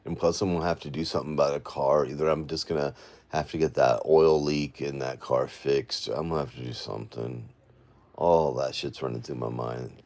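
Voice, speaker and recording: A man's voice: a bass voice, steady tone, normal speed, darkish tone. A weary man, rambling and venting to viewers on a late-night livestream from home. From Florida, USA. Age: 40s. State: exhausted. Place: at home, indoors.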